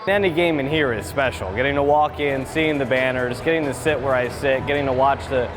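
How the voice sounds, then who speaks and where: A man's voice: mid-range voice, brisk, noisy background. A man in his twenties, a sports broadcaster, casually answering interview questions about himself. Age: 20s. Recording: music laid under interview. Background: music.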